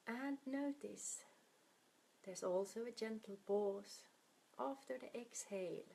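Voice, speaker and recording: Breathy, creaky-voiced woman guiding meditation. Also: medium pitch. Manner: soft, soothing, unhurried with long pauses. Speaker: meditation guide. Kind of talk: guided meditation.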